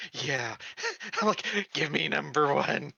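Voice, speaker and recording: A man's voice: on the high side, expressive, takes it slowly, gravelly, pushed and bright, husky, no room sound, dull audio. A man playing a tabletop role-playing game, chatting casually and jokingly.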